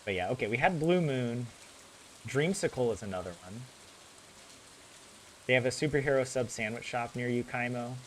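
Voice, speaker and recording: A man's voice: mid-range voice, moderate pace, pauses often, slight background noise, poor audio. A young man with a general American accent chatting casually with his viewers while he plays a game, rambling and correcting himself as he goes. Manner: rambling, chatty, self-correcting, laughs often. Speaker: gaming streamer.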